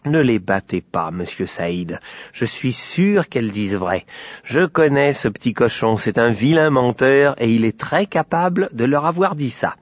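A man's voice: medium pitch, expressive, a little slow, no room sound, dull audio. A man voicing the sun as a firm, kindly and self-assured visitor in a children's story. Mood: calm, firm.

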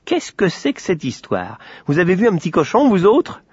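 A man voicing a gruff, impatient father who runs a café in a storybook tale, brusque and irritable. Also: sits high, huge pitch swings, moderate pace, a little mellow, no room sound, highs cut off, pitched above the performer's own voice, exaggerated voice.

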